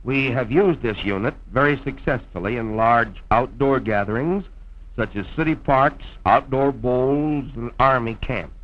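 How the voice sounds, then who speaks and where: An American man narrating a scripted promotional film in a formal, measured old-time radio announcer's style, on a vintage soundtrack. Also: medium pitch, muffled recording. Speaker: radio program host and narrator.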